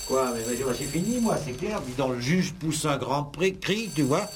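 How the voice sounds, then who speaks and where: A man's voice: a little high, animated, moderate pace, lightly acted. A man acting in a French radio play as a casual, curious actor who is off script, chatting colloquially. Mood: casual, insistent. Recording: background noise, old radio studio recording.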